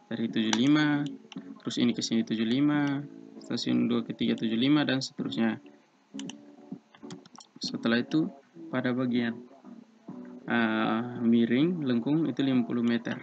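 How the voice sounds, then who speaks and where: Young man, eastern Indonesian accent, flat delivery. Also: medium pitch. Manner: relaxed, halting, many fillers, chuckles. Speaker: civil engineering student tutor. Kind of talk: screen-recorded tutorial walkthrough. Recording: dull lo-fi mic with guitar music behind.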